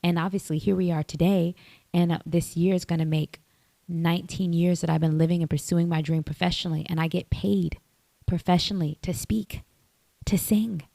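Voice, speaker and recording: A woman guest on a podcast, telling stories in an animated way and laughing often. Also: on the low side, moderate pace, vocal fry, no echo. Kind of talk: casual podcast conversation.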